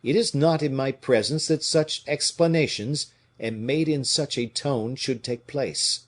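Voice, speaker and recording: A man's voice: mid-range voice, a little slow, voice pushed up, natural voice. A man voicing a stern, imperious king with a haughty, commanding manner in an audiobook. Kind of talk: dramatic audiobook character reading.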